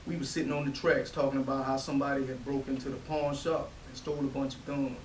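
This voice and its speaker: A man's voice: mid-range voice, little pitch movement, fast, lightly acted. A man acting a brash, protective, street-tough young brother in a staged play reading, speaking in slangy Southern vernacular. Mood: grave, remorseful. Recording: distant roomy stage recording with hiss.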